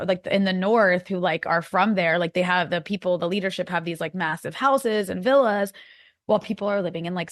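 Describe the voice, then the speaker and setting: A woman's voice: lowish voice, dry recording, studio quality. A woman with an American accent, a guest in a casual interview, talking in a rambling way full of "like" and restarts. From United States. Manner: rambling, many likes and restarts.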